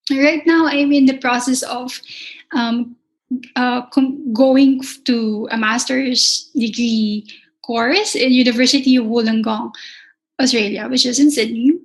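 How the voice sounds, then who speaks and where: A young woman with a Filipino accent, a culinary instructor answering interview questions in a careful, halting way with frequent restarts. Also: a little high, steady tone, rough recording. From Philippines.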